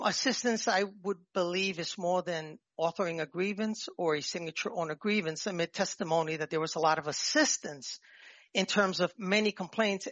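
A man with a New York accent giving a formal, halting and nervous oral argument as a petitioner in court. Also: sits high, a bit bright, dry recording. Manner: halting, nervous, jumping between points. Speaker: petitioner arguing own appeal. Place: appellate courtroom. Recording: dull courtroom microphone, dry sound.

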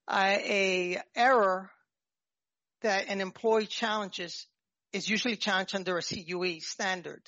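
A man with a New York accent giving a formal, halting and nervous oral argument as a petitioner in court. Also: sits high, steady tone, bright, forceful tone, no room sound. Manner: halting, nervous, jumping between points. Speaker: petitioner arguing own appeal. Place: appellate courtroom. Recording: dull courtroom microphone, dry sound.

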